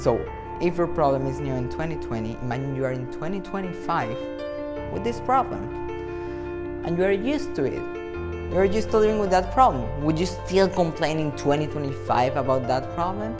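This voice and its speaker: A man in his twenties with a Venezuelan accent, a motivational speaker talking to camera in a playful, animated way and acting out imagined dialogue. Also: fairly high pitch, normal speed, music in the background. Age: 20s. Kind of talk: talk to camera.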